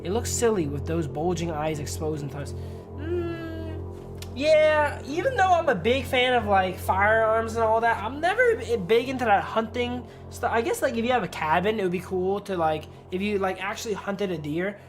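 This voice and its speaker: A woman live-streaming a game, reading its text aloud and adding casual, slangy commentary. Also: mid-range voice. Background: music.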